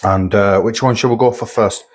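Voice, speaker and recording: A man's voice: lowish voice, fairly even intonation, moderate pace. A man reviewing supermarket food at home, chatty and rambling, sniffly with a cold coming on. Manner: chatty, rambling, repeats himself. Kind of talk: casual food review vlog. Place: home kitchen.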